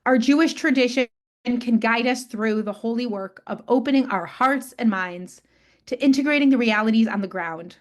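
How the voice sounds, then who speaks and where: A woman hosting a webinar on a video call, reading a measured, prepared introduction. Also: a little high. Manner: measured, reads from prepared text. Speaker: webinar host.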